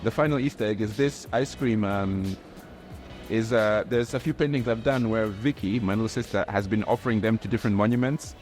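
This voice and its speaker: A young man from Tanzania, a graphic artist, talking in a relaxed, reflective way with many restarts and fillers. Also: lowish voice, brisk. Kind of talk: casual interview talk. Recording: faint music underneath.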